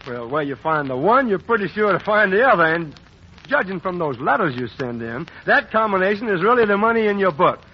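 A man's voice: sits high, expressive, brisk, slightly rough. A man introducing a singer on a 1940s radio variety show in a folksy, friendly way. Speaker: host. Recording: dull 1940s radio broadcast.

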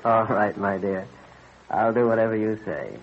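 An actor playing an earnest, absorbed colonial astronomer husband in an old-time radio drama, explaining eagerly with mild hesitations. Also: male voice, lowish voice, moderate pace, slight background noise, close to an everyday voice. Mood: earnest, gentle. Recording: dull vintage radio recording.

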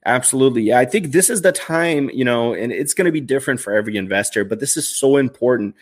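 Man, 30s, live-stream host, casual, upbeat, fillers and restarts, mid-pitched voice. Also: lively intonation, quick pace. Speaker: finance livestream host.

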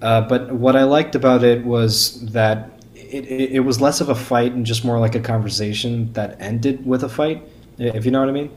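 A man's voice: mid-range voice, steady tone, normal speed, darkish tone. A man speaking as a podcast guest in casual, enthusiastic discussion, stumbling and repeating words as he starts.

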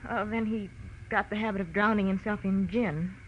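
A woman's voice: medium pitch, fairly even intonation, moderate pace, natural voice. An actress playing an anxious young woman in a 1940s radio detective drama, breathless and hesitant. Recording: muffled, noisy old radio recording.

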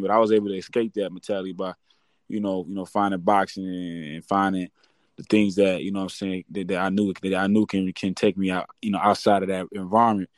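A young man speaks with a low voice that stays flat and steady. He talks loosely in street slang and keeps checking in with "you know what I mean". The sound is dry, with no room echo. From Detroit, Michigan. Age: young adult. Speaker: guest, boxer and entrepreneur. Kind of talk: casual interview talk.

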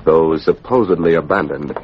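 A man's voice: very deep voice, moderate pace. A man giving dramatic scripted narration for a 1940s radio serial. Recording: muffled, noisy old radio recording.

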